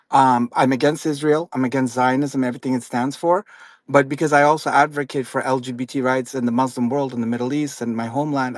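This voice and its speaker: Man from afghanistan, earnest caller, mid pitch, dry close sound. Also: dry recording. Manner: earnest, polite, a little halting. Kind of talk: casual live audio chat.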